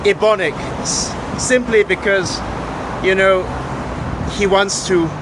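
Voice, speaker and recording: A man's voice: sits high, takes it slowly, noisy background. A Malaysian man with a British accent, delivering an opinionated monologue and pressing each point with "okay?" and "right?". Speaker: vlogger.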